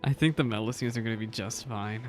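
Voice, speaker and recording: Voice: mid-range voice, steady tone, fast talker, a little mellow, faint music behind. A streamer giving casual reaction commentary during a game playthrough.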